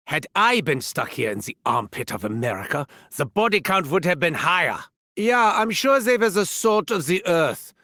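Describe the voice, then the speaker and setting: A scheming German mad scientist with a thick German accent, sneering and gloating over his own genius. His voice leaps wildly up and down, bright and sharp-edged, and he savors each cruel word slowly. Recorded close and dry. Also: sits high, no room sound, exaggerated voice. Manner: theatrical, mocking, gleeful cruelty. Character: manic, sardonic German mad scientist, adult man. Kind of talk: video game voice lines.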